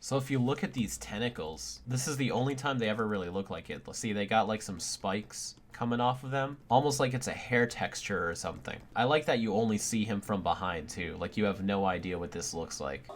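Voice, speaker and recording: A man's voice: lowish voice, a little fast, hardly any pauses, background noise. A young man giving casual, scripted review commentary as a video host, conversational and opinionated while often qualifying his points.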